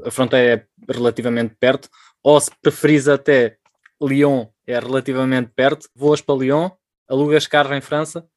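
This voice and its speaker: A man's voice: medium pitch, fairly even intonation, a little fast. A man with a European Portuguese accent, casually sharing practical tips in conversation. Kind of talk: casual chat giving travel tips.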